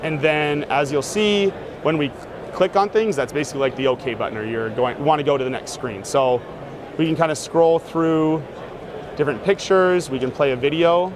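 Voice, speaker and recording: A man casually demonstrating a gadget in an interview, relaxed and full of fillers. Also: on the high side, normal speed. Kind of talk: casual demo talk. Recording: noisy outdoor street sound.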